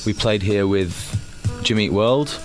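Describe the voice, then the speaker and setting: A man's voice: lowish voice, slow pace. A man chatting casually as an interviewed band member, hesitant and repeating words as he searches, with music behind.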